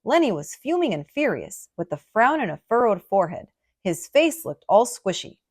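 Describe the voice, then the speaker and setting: A woman reading a picture book aloud for children in a dramatic, expressive storytelling manner. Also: mid-range voice, normal speed, slightly rough.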